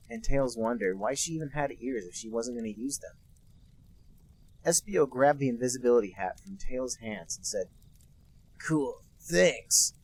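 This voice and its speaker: Young man, husky, mid-pitched, mock-serious narration, dry asides, comedy podcast host. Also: darkish tone, rough recording. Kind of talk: comedic dramatic reading.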